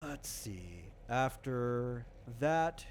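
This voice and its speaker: A man's voice: medium pitch, lively intonation, very slow, a little hiss or hum. A man explaining things in casual chat, in a rambling, hesitant way, as a game designer running character creation.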